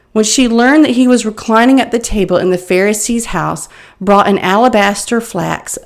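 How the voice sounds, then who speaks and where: Woman preaching from a script, medium pitch, measured, explains bible stories like storytime. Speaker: preacher reading a sermon.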